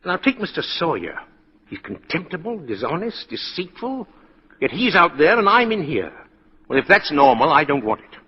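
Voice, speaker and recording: An older man acting a kindly but easily indignant old Santa Claus in a 1940s radio drama, with a husky voice. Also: on the high side, big pitch swings, moderate pace. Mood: hurt. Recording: dull vintage 1940s radio recording.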